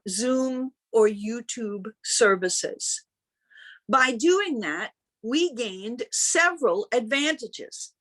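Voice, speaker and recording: Slow, high-voiced woman preaching. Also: a bit bright. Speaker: minister preaching. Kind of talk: sermon. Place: online service over video call. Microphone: video call.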